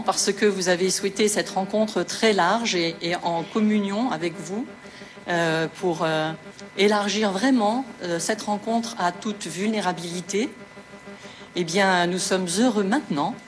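A woman, director of a welcome centre, giving a measured, formal welcome speech with frequent hesitations. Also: lowish voice.

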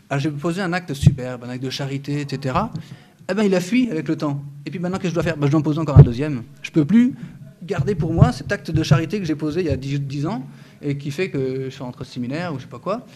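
A man's voice: fairly high pitch, quick pace. Earnest man in his thirties teaching faith. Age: 30s. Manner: earnest and lively, with many hesitant fillers, restarts and touches of humor. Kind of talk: spoken religious teaching to an audience.

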